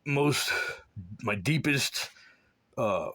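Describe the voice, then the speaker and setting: A man from South Florida talking in a rambling personal monologue, halting and full of restarts, often trailing into low mumbles. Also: animated, sharp tone. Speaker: man recounting his life.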